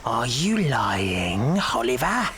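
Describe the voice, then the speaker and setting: An actor playing a wily old leader of child pickpockets in an audio drama, coaxing in drawn-out phrases with faint menace. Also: male voice, mid-range voice, sing-song, sharp tone, slight background noise, exaggerated voice. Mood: menacing probing.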